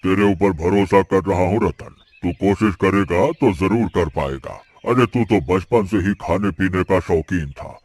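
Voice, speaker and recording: Grumbling yet encouraging eatery regular, male actor: very low, husky, familiar cartoon delivery. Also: a little mellow, no room sound. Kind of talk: Hindi cartoon moral-story dialogue.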